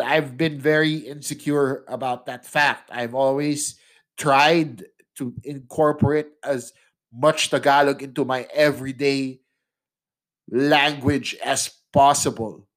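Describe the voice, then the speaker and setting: A man's voice: a little high, poor audio. A young Filipino man, a native English speaker, hosting a solo podcast and speaking in a conversational way, often tagging questions onto the ends of his sentences. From Philippines. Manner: conversational, frequent tag questions, laughs mid-sentence. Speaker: podcast host. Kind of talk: solo opinion monologue.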